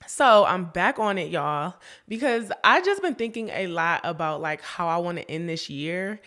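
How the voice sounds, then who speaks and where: A woman's voice: lowish voice, big pitch swings, moderate pace, fairly bright tone. A young woman hosting her own podcast in a chatty, casual way, often laughing and talking straight to her listeners while sniffling from a cold. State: sniffly, getting over a cold. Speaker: podcast host. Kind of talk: casual chat.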